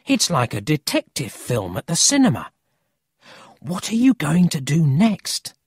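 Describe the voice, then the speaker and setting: A cheeky, brash city boy from a storybook, played by an adult reader. His voice is pitched high and swoops about with eager, teasing energy, recorded dry and close. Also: huge pitch swings, measured pace, slightly rough, no echo, exaggerated voice. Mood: excited. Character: cheeky, excitable, friendly city boy with a horn.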